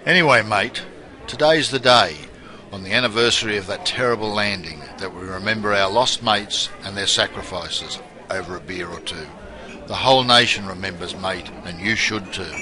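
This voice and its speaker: A man's voice: fairly low pitch, moderate pace, lightly acted. A man playing a patriotic, sentimental Australian bloke toasting lost war mates in matey slang. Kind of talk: character monologue. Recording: busy crowd chatter behind. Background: crowd noise.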